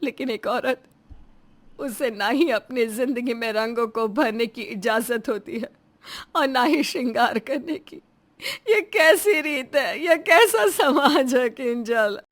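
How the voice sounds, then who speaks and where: A woman's voice: on the high side, steady tone, measured pace, lightly acted. A woman voicing a meek, despairing young widow in a melodramatic audio story, speaking in a plaintive, tearful manner.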